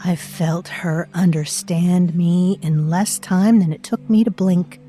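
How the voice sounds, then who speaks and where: A woman's voice: on the low side, steady tone, slow pace, mellow, faint music behind. A woman narrating an audio drama as a mysterious presence living in a girl's mind, musing darkly and speaking straight to the listener. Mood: brooding. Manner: musing, ominous, addresses the listener directly.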